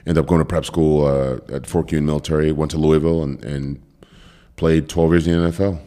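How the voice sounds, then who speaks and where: A man's voice: rumbling low, steady tone, fairly quick, dark tone. A middle-aged man from Cameroon, a podcast guest, talking loosely with fillers and repeated words. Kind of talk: relaxed conversational interview.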